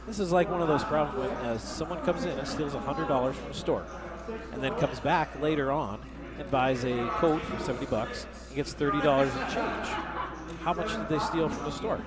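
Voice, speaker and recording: A male poker commentator talks through hands in a loose, joking way. A loud crowd fills the room behind him at a live tournament. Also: fairly high pitch, brisk. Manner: chatty, joking, explains hands with asides. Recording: noisy crowd at a live event.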